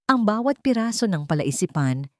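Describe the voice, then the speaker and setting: A woman's voice: medium pitch, very animated, normal speed, dry recording, studio quality. A woman storyteller reading scripted fiction in a dramatic narration style.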